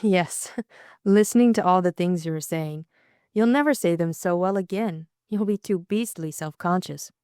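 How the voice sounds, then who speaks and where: A woman voicing a witty, teasing young Englishwoman, dry and brisk. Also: lowish voice, a little mellow, smooth, no room sound, lightly acted.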